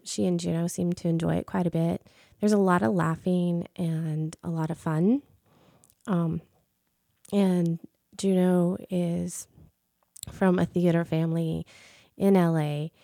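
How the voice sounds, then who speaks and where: Gravelly-voiced woman hosting radio. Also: on the low side, dark tone, dry recording, studio quality. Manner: chatty, many ums and restarts. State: voice a little off after illness. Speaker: radio show host. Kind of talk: solo casual radio talk. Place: radio studio.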